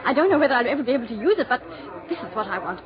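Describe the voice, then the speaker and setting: A woman's voice: a little high, big pitch swings, very fast, crowd noise. An actress playing a sweet, earnest young woman in a breathless, emotional 1940s radio drama style. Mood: wistful, earnest. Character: sweet, earnest young English war bride, amnesiac. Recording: dull 1940s radio recording, audience noise.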